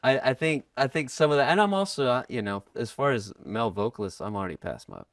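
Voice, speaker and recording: A man's voice: mid-range voice, animated, fast. A man from Texas, a guest musician chatting casually in a podcast studio, rambling and self-deprecating with many fillers and restarts. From Texas, USA. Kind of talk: casual interview chat.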